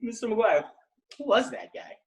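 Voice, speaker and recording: A woman's voice: on the high side, big pitch swings, normal speed, darkish tone. An actress reading a dry-witted teenage boy at a table read. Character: wry teenage boy friend.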